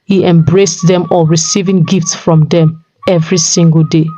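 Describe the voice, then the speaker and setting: A woman's voice: lowish voice, fairly even intonation, moderate pace, warm tone. A woman storyteller reading a scripted folktale narration. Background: music.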